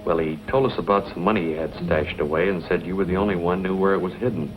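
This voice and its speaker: An actor playing a menacing crook in an old western film, smooth-talking with a threatening edge. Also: male voice, low-pitched, fairly even intonation, fast talker, natural voice. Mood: coaxing. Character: menacing male crook coaxing and threatening a boy. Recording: muffled vintage film soundtrack, music underneath.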